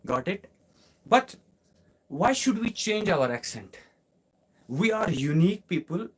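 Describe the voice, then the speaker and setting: A man's voice: high voice, very animated, slow pace, breathy edge. A man from Kashmir with a Kashmiri Indian English accent, teaching a live online English class and answering questions, repeating his points and asking listeners rhetorical questions. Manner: repetitive, instructive, asks listeners rhetorical questions.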